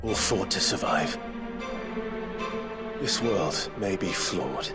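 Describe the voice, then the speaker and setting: A man's voice: low-pitched, animated, slow pace. A man playing a weary but defiant game hero, earnest and resolute, with a grave, impassioned delivery. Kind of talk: dramatic video game voice acting. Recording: loud dramatic music over the voice.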